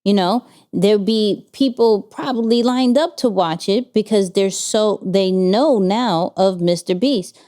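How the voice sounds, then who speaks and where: Mellow, smooth-voiced woman asking rhetorical questions. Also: mid-range voice, slow pace, few pauses. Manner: energetic, rhetorical questions, frequent restarts. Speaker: podcast co-host. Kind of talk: casual business chat.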